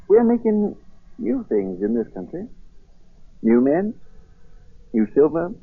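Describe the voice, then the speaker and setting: An actor playing a proud, spirited colonial silversmith, brisk, dignified and theatrical, in a 1940s radio drama. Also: male voice, fairly high pitch, wildly swooping pitch, breathy edge, exaggerated voice. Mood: fiery, scornful. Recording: muffled vintage 1940s radio broadcast.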